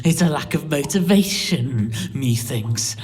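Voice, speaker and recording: A woman's voice: a bass voice, lively intonation, a little slow, darkish tone. A woman playing a giant's scheming servant in an audio pantomime, obsequious, sly and theatrical. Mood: bullying. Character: fawning, scheming servant of a giant, bullying jailer. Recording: long echoing dungeon-like reverb.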